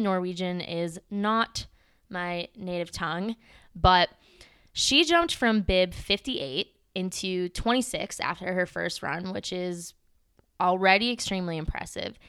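Woman cohost breaking down race results, super clear voice, dry no-echo sound, kinda low. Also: fairly bright tone. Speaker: podcast co-host. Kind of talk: casual podcast commentary on ski racing.

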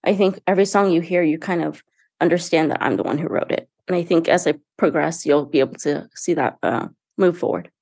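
A woman's voice: sits low, a little fast, darkish tone, slightly rough, dry recording, clean studio sound. A young woman, a singer-songwriter, talking earnestly and casually about her music in an interview, with frequent fillers and restarts. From United States. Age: young adult.

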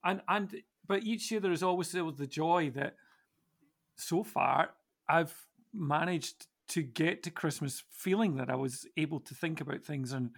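A man's voice: fairly high pitch, a little slow, creaky, fairly bright tone. A man speaking as a church minister on a podcast panel, reflecting casually and hesitantly as he thinks aloud.

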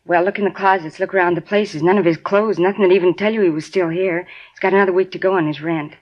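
A woman's voice: lowish voice, steady tone, fast talker, muffled recording, lightly acted. An actress playing a talkative, folksy middle-aged apartment landlady in a 1950s radio drama. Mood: chatty.

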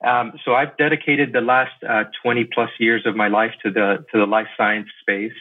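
A middle-aged American man from Miami giving casual interview answers, haltingly, repeating words as he thinks. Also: medium pitch, little pitch movement. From Miami, Florida, USA. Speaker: podcast guest, lawyer and investor. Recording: thin phone-like sound.

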